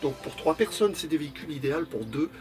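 A man's voice: on the high side, lively intonation, fast, over music. A man explaining a new motorhome model in an interview inside the vehicle, in a matter-of-fact way. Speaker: manufacturer representative.

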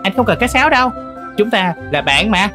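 A woman voicing a sly, chummy fox in an animated fairy tale, with a lilting, animated delivery. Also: medium pitch, quick pace, music in the background, close to an everyday voice. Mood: cheerful, friendly. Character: sly, chummy fox, helpful friend to a horse.

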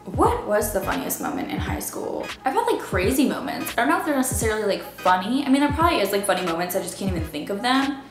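A woman's voice: mid-range voice, very animated, quick pace, hardly any pauses. Woman from maryland rambling to her vlog cam, lots of like and um, mid voice, echoey room, music going. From Baltimore area, Maryland. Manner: rambling, filler-heavy "like" talk. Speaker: vlogger. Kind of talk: casual chat to camera. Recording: roomy echo with music behind.

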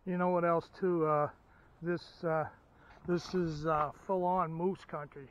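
A man's voice: fairly high pitch, little pitch movement, a little slow, lo-fi sound, slight background noise. A middle-aged man giving casual, folksy vlog commentary outdoors on a handheld camera, gushing with enthusiasm. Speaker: outdoors and ham radio vlogger. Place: outdoors, by a stream and at a parked car.

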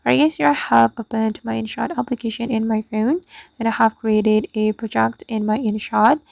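Creaky-voiced woman presenting a tutorial. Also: on the high side, fairly even intonation, normal speed, a little mellow, dry recording, studio quality. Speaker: tutorial host. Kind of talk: step-by-step app tutorial narration.

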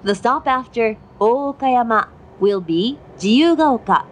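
A woman giving formal, measured railway announcements from a script. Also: fairly high pitch, expressive. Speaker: train announcer. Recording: noisy onboard train recording. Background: train running noise.

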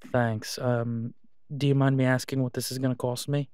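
A man's voice: medium pitch, flat delivery, moderate pace, darkish tone, clear, resonant tone, no echo, studio quality, lightly acted. A man playing a reluctant, awkward werewolf pack alpha in an audio roleplay, with a hesitant, casual, understated delivery. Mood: awkward, wary. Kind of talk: naturalistic audio roleplay acting.

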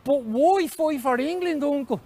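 A man's voice: extremely high pitch, unhurried, a little mellow, voice pushed up. A man voicing an inquisitive, persistent young Irish boy with an eager, questioning manner, in a story reading. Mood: insistent, puzzled.

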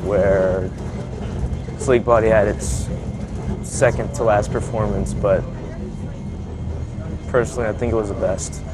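A man acting as a nostalgic young musician interviewed for a mockumentary on a moving bus. His voice is lowish and sits in very loud vehicle noise. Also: fairly even intonation, unhurried, pauses often, lightly acted. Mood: wistful.

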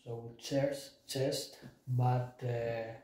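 A man's voice: mid-range voice, very slow, slightly rough, no room sound. A man in his thirties, a fitness vlogger, talking casually and tiredly about his home workout, with fillers and restarts. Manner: relaxed, plain-spoken, many fillers and restarts. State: tired from little sleep. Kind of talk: casual narration over workout clips. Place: small room at home, indoors.

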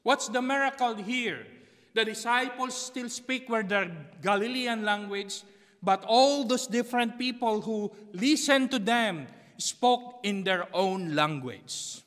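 A man's voice: high-pitched, takes it slowly, a bit bright. A middle-aged man from the Philippines with a Filipino accent, preaching a sermon from a church pulpit and repeating key phrases. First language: Tagalog. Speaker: pastor. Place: empty church sanctuary behind a pulpit. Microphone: pulpit mic on a stand, far camera. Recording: long reverb of a church hall.

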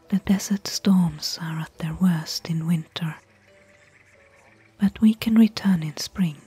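Actress for bookish woman adventurer, ASMR audio roleplay: mellow, gentle whisper, close and dry. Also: fairly low pitch, no echo, exaggerated voice. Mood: gentle, caring. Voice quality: breathy, whispered. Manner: slow, gentle, pausing between short phrases. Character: gentle, bookish female desert explorer, caring companion.

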